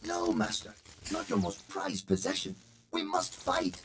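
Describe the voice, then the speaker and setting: A loyal droid servant from a video game. It speaks anxiously to its master in a low voice with big swings in pitch. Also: male voice, huge pitch swings, unhurried, gravelly, music in the background, full character voice. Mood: protesting.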